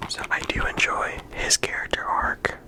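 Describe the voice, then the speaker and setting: A person whispering in ASMR style, talking through a discussion in a casual, halting way with lots of filler words. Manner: casual, halting phrasing with frequent "like" and "you know". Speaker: video creator and host.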